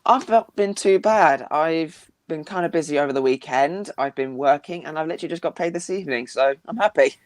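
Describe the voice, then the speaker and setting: A man's voice: a little high, a little fast, hardly any pauses, no room sound. Laid-back guy on a video call, dry close sound, chatting. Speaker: guest. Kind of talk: casual chat.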